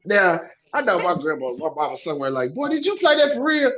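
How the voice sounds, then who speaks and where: A hoarse man hosting reaction commentary, talking in an animated, preachy way. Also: high-pitched, fairly quick, roomy sound. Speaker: commentary host.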